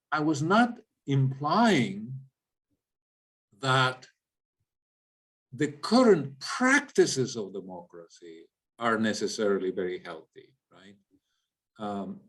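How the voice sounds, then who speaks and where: A man from Latin America, an economist giving an informal explanatory lecture and often checking in with listeners. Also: medium pitch. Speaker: economist, guest speaker. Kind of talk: informal lecture with audience questions.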